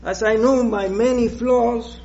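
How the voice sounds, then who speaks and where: Slow, hesitant Puerto Rican preacher. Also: male voice, extremely high pitch, fairly even intonation, creaky, mellow, lo-fi sound. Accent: Puerto Rican Spanish. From Puerto Rico. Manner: slow, hesitant, with many restarts. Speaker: guest preacher. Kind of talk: personal testimony in church.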